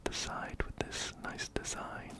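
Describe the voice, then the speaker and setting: A man whispering ASMR game commentary very close to a binaural mic, gently repeating his phrases. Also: slow. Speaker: ASMR host playing a video game. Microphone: close binaural microphone, ear to ear.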